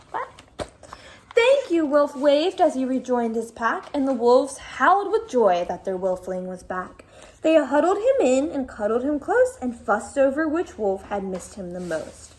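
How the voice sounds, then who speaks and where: A woman schoolteacher reading aloud in an expressive, dramatic storytelling style with casual asides. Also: high voice, moderate pace, a little hiss or hum. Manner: animated, dramatic storytelling delivery.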